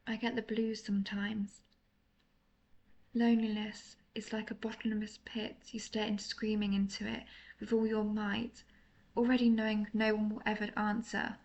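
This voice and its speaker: A woman voicing a tender, melancholy centuries-old vampire lover in an intimate audio roleplay, speaking softly and confessionally, at times nearly whispering. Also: mid-range voice, little pitch movement, normal speed, natural voice. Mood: melancholy, lonely. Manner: soft, confessional, partly whispered.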